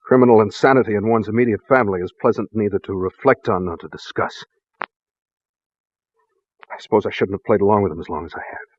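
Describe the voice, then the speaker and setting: Guy acting haunted serious husband in 40s radio play, husky voice, formal and brooding, dull old recording. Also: lowish voice, fast, long pauses, no room sound, close to an everyday voice. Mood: grave. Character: grave, secretive husband haunted by his mad brother. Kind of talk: 1940s radio drama acting.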